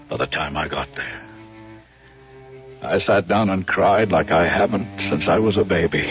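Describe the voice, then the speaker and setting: A low American male voice on a dull old radio recording, music underneath. a scheming agent with a conscience, in dramatic confessional style. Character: smooth scheming agent, later broken and remorseful.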